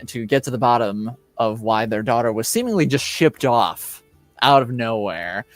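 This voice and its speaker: A man narrating a tabletop roleplaying game as game master in an unscripted recap, pausing and searching for words. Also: mid-range voice, no echo. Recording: clear music under the voice. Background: background music.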